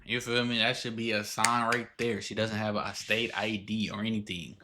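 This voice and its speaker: A man's voice: fairly low pitch, flat delivery, normal speed, noisy background. A man hosting a reaction video with casual, slangy commentary, exclaiming and repeating himself.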